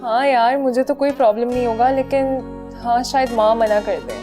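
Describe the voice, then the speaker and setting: An actress playing a young woman's practical, soothing friend who gently teases her, over background music. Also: a little high, fairly even intonation, moderate pace, close to an everyday voice. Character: caring, teasing young female friend.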